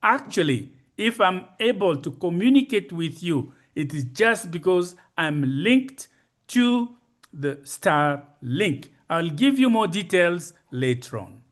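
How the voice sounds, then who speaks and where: An older man in his sixties or beyond with a Congolese accent, giving a slide presentation in a deliberate, explanatory manner and repeating key phrases for emphasis. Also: high-pitched, big pitch swings. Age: 60s or older. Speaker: conference presenter.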